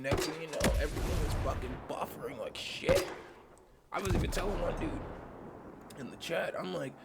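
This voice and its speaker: Raspy sick dude ranting n swearing from his man cave, gravelly voice. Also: on the high side, very noisy. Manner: profane, heated rant. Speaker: channel host. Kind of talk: casual ranting commentary.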